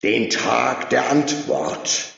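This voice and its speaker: A man's voice: steady tone, extremely slow, gravelly, very echoey, big-room sound, lo-fi sound, heavily acted. A man putting on a bombastic crowd rouser. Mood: rousing. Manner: high, loud, preaching cheerleader delivery. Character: jubilant male crowd orator, bombastic and rousing.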